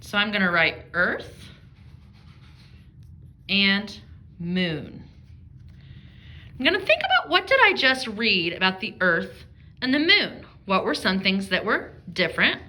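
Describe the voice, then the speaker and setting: A woman's voice: mid-range voice, wildly swooping pitch, slow, long pauses, pushed and bright. Woman teaching young children through a read-aloud. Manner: addresses young children directly, thinking aloud with guiding questions. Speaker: elementary school reading teacher.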